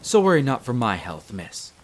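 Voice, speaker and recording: A man playing a courteous, devoted wolf butler in a roleplay audio drama, speaking in a formal, measured and deferential way. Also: mid-range voice, very animated, natural voice. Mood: composed, reassuring. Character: courteous, devoted male wolf butler, head servant of vampire castle.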